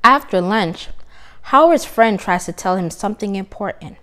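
A woman reading a children's story aloud with expressive, deliberate, emphatic phrasing. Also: medium pitch.

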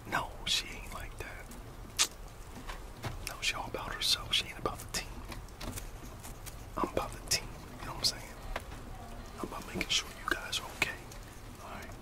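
A middle-aged American man playing a disgruntled, boastful employee gossiping conspiratorially in a whispered ASMR roleplay. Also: lightly acted. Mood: disdainful, conspiratorial. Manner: conspiratorial, colloquial, hesitant pauses.

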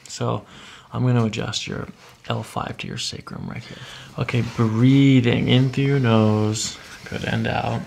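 Male chiropractor, middle-aged, very creaky voice, lively pitch swings, calm reassuring cues in a treatment room. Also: unhurried, slight background noise. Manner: soothing, reassuring short instructions. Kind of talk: hands-on patient coaching during treatment, ASMR. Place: small carpeted treatment office, indoors. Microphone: microphone on boom stand nearby.

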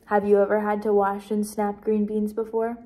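A woman in her twenties reading a children's book aloud as a teacher, with expressive, clearly paced narration. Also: mid-range voice, normal speed. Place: small decorated indoor room.

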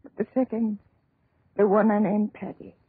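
A dying old Irish village widow in a radio play. Her voice is husky and cracked with creaks, slow, halting and full of guilt. It sounds like a narrow old radio recording. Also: medium pitch, flat delivery, no room sound. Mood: mournful confiding. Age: older. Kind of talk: 1930s radio drama acting.

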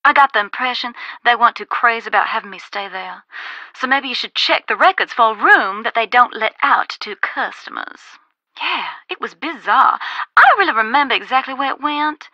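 An actress playing a folksy, cautious former ghost hunter in a video game, with a Southern US accent and a drawling, cautionary manner. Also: female voice, fairly high pitch, expressive, fairly quick, bright, forceful tone, no echo, dull audio. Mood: casual, recalling. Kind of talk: video game dialogue, conversational phone-call acting.

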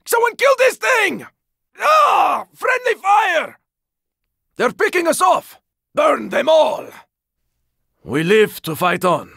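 A battle-weary man fighting through a zombie apocalypse in a video game. His voice is pitched very high for a man and is sharp and tense, with a rough rasp. He throws out short, forceful callouts in a close, dry recording. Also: sing-song, takes it slowly, pauses often, dry recording, exaggerated voice. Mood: panicked, desperate, then weary, resigned. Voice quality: raspy, gravelly. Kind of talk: video game combat barks.

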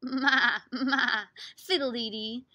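A woman voicing a playful storybook farmer who pleads with animals in a singsong, drawn-out way. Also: a little high, steady tone, bright, forceful tone, dry recording, muffled recording. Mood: playful pleading.

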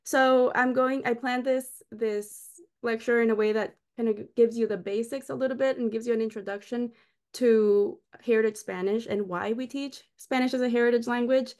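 A woman from Costa Rica gives an online lecture with slides, her voice sitting a little high. She talks in a friendly, explanatory way, like chatting with students. She is heard through a webcam mic on a video call. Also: fairly even intonation, moderate pace, a little mellow.

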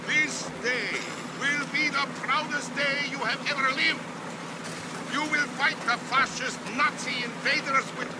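An actor playing a fierce Soviet officer, delivering a merciless, emphatic rallying speech in a war video game. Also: male voice, very high voice, heavily acted. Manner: declamatory, emphatic, halting phrases. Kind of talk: shouted battlefield oration. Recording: loud boat engine and battle noise.

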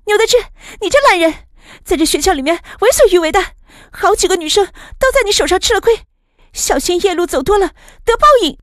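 A woman voicing an indignant young student who stands up to a bully in an audiobook, forceful and accusing. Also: high-pitched, animated, brisk, dry recording, clean studio sound. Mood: angry, accusing. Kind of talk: audiobook character dialogue.

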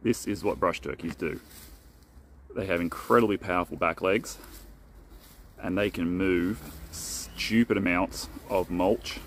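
A man's voice: sits low, measured pace. A man giving casual commentary on a phone video shot outdoors in a garden. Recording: noisy outdoor phone clip. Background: outdoor ambience.

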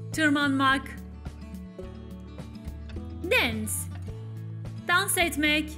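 A woman's voice: sits high, bright, forceful tone. A Turkish woman narrating a scripted English lesson as a teacher, with careful, instructive enunciation.

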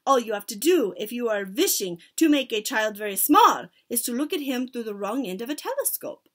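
A woman plays a bossy, menacing storybook witch queen. Her voice is high and swings widely as she barks out commands with scorn and stilted phrasing. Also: big pitch swings, fairly quick. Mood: instructing, self-satisfied. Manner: commanding, shouted declarations in stilted foreign-sounding grammar.

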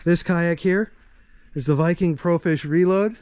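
Man, matter-of-fact, short phrases, slightly high voice, smooth, outdoors, handheld camera. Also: a little slow, a little mellow. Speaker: kayak rental guide. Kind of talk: casual walkaround video. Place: outdoors on a rural property. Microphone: camera held by the speaker.

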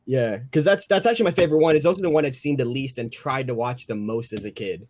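A man's voice: medium pitch, quick pace, a little mellow. A man chatting and joking casually with friends on an online group call. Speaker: member of a comic dub cast.

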